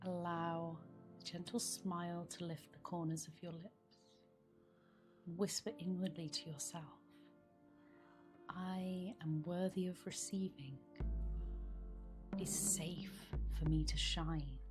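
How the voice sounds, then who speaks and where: A woman guiding a meditation in a soft, soothing manner, with phrases often trailing into breathiness. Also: on the low side, steady tone, slow pace, long pauses. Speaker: meditation guide. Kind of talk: guided meditation. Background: background meditation music.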